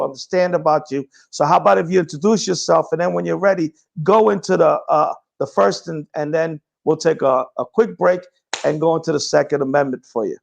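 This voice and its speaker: A man's voice: on the high side, creaky, highs cut off. A man hosting a talk show, introducing his guest in a brash, informal way. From Puerto Rican heritage. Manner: brash, informal, hesitant over names.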